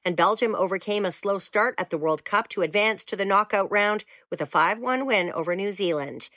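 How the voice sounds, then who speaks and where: A woman reading a scripted newscast in an even, measured way. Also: mid-range voice, dry recording, clean studio sound. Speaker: newsreader.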